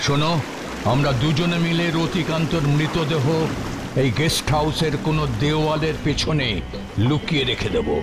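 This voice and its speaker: A man voicing a greedy, scheming villain in an animated cartoon, speaking in a coaxing, conspiratorial way. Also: fairly high pitch, normal speed, heavy background noise, close to an everyday voice.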